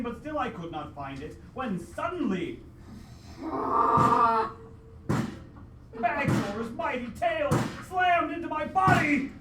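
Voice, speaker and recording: A man playing a heroic adventurer who narrates his dragon quest as a solemn, melodramatic old-time radio play. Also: high voice. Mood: strained, desperate. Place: live theatre stage with audience. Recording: echoey live hall, distant mic, noisy.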